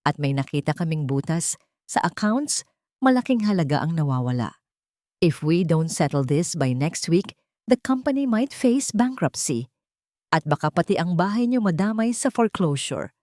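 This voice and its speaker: A woman's voice: fairly low pitch, very animated, normal speed, darkish tone, dry recording, studio quality. A Filipino woman voicing a worried company finance chief in a story, apologetic and urgent.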